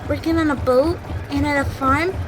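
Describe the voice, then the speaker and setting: A woman's voice: sits high, steady tone, normal speed, noisy background, close to an everyday voice. An actress playing a plucky, chatty young girl in an audio drama, breezy, wry and teasing. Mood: curious.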